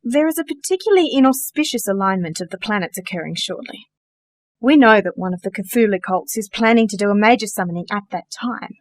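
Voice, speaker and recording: A woman's voice: mid-range voice, animated, a little fast, natural voice. A woman playing a genteel, dryly witty sorcerer in a radio play, polite, precise and matter-of-fact. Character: composed, genteel, dryly witty female sorcerer, organizer of magic workers.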